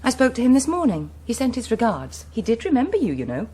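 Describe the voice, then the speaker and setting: An actress playing a self-assured young Member of Parliament with an English accent, brisk and dismissive, in a British radio drama. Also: female voice, a little high, huge pitch swings, warm tone, breathy edge, exaggerated voice. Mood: cool, teasing.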